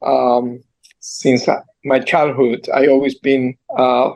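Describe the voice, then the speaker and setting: A man in his sixties with an Argentine Spanish accent, a guest entrepreneur on a video call, telling his story earnestly with halting restarts. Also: mid-range voice, little pitch movement, darkish tone, rough recording. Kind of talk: storytelling interview. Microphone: computer mic on a video call.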